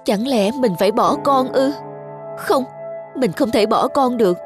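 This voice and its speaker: A woman's voice: on the high side, a little slow, over music, close to an everyday voice. A woman voicing a fragile but determined young wife in a cartoon, tender and pleading. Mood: sad, thoughtful.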